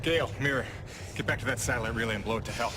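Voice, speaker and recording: A man's voice: very fast, lightly acted. A man acting a weary, defiant working-man hero in a video game cutscene, with dry, wry delivery. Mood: urgent, resolute. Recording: loud music and engine rumble behind.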